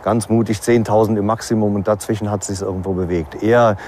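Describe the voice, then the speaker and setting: A male expert gives an interview explanation in a fairly low voice. He hesitates often as he works through his points. There is slight ambient noise around him. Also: flat delivery. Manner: hesitant, frequent pauses with "äh" while reasoning.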